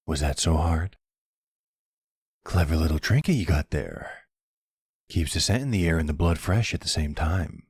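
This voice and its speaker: A man's voice: a little mellow, dry recording, exaggerated voice. A man playing a smug, flirty, teasing vampire in an intimate ASMR roleplay, with a playful, taunting drawl close to the microphone. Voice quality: deep, gravelly, husky. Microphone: close studio-style microphone, dry.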